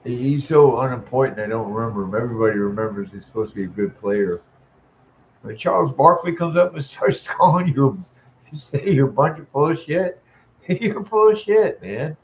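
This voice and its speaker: A man delivering a profane, heated rant to the camera, tagging his sentences with "man" and stalling on long "uh" sounds. His voice sits at an ordinary middle pitch. The recording is a little dull and lo-fi, with a faint hum of the room behind him. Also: lively intonation. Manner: tags sentences with "man", chuckles, stalls on drawn-out "uh". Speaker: vlogger. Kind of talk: profane ranting monologue.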